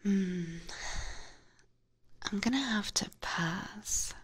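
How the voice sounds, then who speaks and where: A seductive vampire catgirl, played by a woman, whispers in a slow, breathy voice very close to the ear. She is teasing and coaxing, with a playful menace that suits an intimate ASMR roleplay stream. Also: mid-range voice. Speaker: streamer playing a character for live chat. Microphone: close binaural ASMR microphone.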